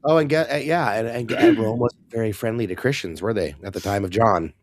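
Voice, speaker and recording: A man with a hoarse, raspy voice, co-hosting a casual Bible discussion with wry, sarcastic asides. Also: on the low side, brisk. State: hoarse, recovering lost voice. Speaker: co-host.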